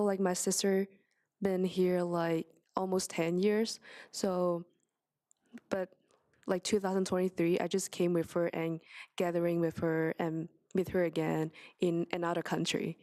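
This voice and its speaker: Young woman, native Cantonese, student, flat delivery, simple phrasing, dry. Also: lowish voice, no echo. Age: young adult. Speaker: international student. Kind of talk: answering at a meeting.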